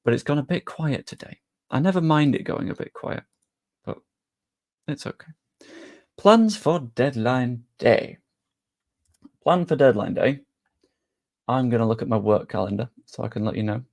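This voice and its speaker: Gravelly-voiced English streamer, easygoing chat. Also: male voice, mid-range voice, normal speed, pauses often, a little mellow. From England. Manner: chatty and easygoing, with frequent fillers and British slang. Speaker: live streamer. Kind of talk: casual live stream chat, reading and answering viewer questions.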